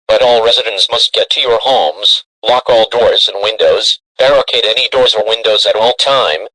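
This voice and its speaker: A man as a bright, forceful emergency announcer. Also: lowish voice, rough recording. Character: stern male emergency alert announcer. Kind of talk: formal scripted emergency broadcast.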